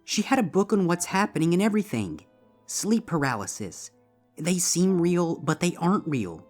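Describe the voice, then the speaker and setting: This is a woman playing a weary pony mom in a storybook reading. She coaxes softly and soothingly, with a lively rise and fall and worry underneath, over background music. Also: lowish voice, normal speed. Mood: gentle, reassuring. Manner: soft, soothing, coaxing. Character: tired, gentle, worried mother pony. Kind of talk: dramatized fan fiction reading.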